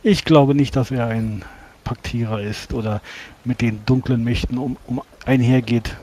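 A man's voice: mid-range voice, fairly even intonation, normal speed, dark tone. A man playing a tabletop roleplaying game, speaking in character with hesitant, formal wording.

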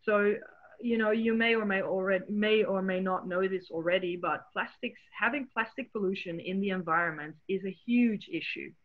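A woman's voice: medium pitch, normal speed. A woman from the Netherlands with a Dutch accent, a marine biologist presenting slides on a video call in a friendly, explanatory way, with many fillers. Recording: tinny phone-like call audio.

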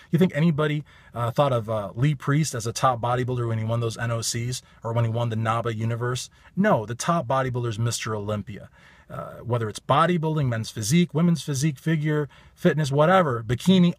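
A man in his thirties with a slightly raspy voice talks straight into a phone held at arm's length in his parked car. He is blunt and emphatic, hammering his points with the same stock phrases. The sound is dry and close, with no room echo. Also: mid-range voice. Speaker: bodybuilding commentator. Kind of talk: casual opinion vlog.

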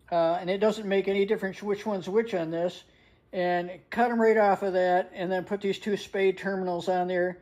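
A woman's voice: medium pitch, a little mellow. An older woman giving a casual hands-on tutorial in a plain-spoken, practical way. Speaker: hobbyist demonstrator. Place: indoors at a wooden workbench.

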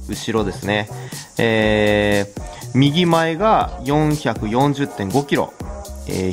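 A man in his thirties, a car channel host, explaining things casually and hands-on to camera in a garage. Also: medium pitch. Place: garage workshop, indoors. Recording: music behind the voice. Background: background music.

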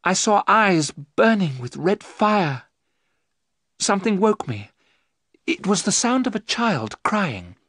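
A frightened young wife caught in a vampire's spell, as a male narrator puts her on, in a high, pleading voice lifted well above his own. The sound is dry and close. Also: very animated, takes it slowly, a bit bright, dry recording, exaggerated voice. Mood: fearful.

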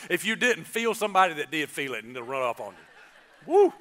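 A man, preaching an animated Easter sermon from a church stage and calling on the congregation to answer. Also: fairly high pitch, normal speed. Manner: animated, gestures broadly, calls on the congregation. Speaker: pastor. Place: church stage, large indoor auditorium.

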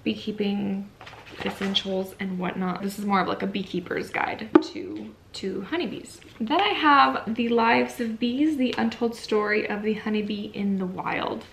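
A young woman talks casually to camera in a chatty, informal way. Her voice sits at a middle pitch and often drops into creaky fry. It is recorded in a room at home with faint background noise. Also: unhurried, a bit bright. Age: young adult. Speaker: book vlogger. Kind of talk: casual chat to camera, showing books. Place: small furnished room at home, indoors.

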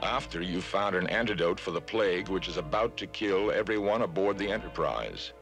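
A man playing a logical, composed alien starship officer in a cartoon, formal and deadpan. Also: sits low, brisk, faint music behind. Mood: composed, stern. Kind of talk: 1970s TV cartoon acting.

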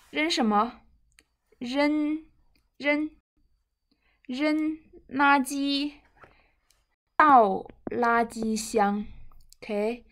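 A young woman with a Chinese accent leads an online Mandarin vocabulary lesson. Her voice sits fairly high and she speaks extremely slowly. She repeats words and short phrases deliberately, giving them clear emphasis. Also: a bit bright. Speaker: Mandarin language teacher. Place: indoors, seated at a computer.

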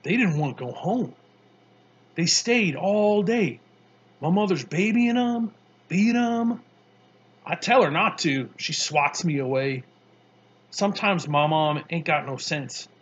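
A man's voice: on the high side, slow pace, pauses often, husky, highs cut off, full character voice. A man voicing a brash, streetwise young boy who talks in slangy, boastful bursts. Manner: slangy, boastful, excitable storytelling.

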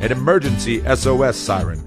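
A male announcer delivers a scripted product promo at a middle pitch. Prominent country-style guitar music plays behind his narration throughout. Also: animated, a little fast. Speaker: commercial announcer. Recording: loud guitar music bed.

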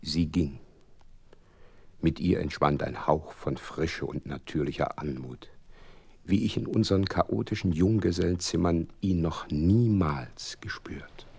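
Gentleman storyteller, deep, raspy, courteous, sentimental, radio drama. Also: a little slow, no room sound, exaggerated voice. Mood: wistful. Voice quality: gravelly, husky. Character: sentimental, courteous gentleman narrator, the detective's loyal companion. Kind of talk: radio drama narration and dialogue.

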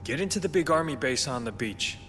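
An actor playing a weary, cautious survivor, speaking in a subdued, deadpan way. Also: male voice, on the high side, brisk, a little hiss or hum. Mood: matter-of-fact. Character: weary, cautious male survivor holed up with others. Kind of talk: video game cutscene acting.

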